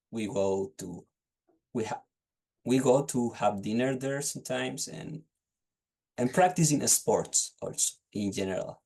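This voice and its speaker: A man's voice: on the low side, a little mellow. A young man with a Chilean accent, answering exam questions hesitantly and often restarting phrases as he searches for words, over a video call. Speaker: test candidate. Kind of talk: unscripted speaking-test answers.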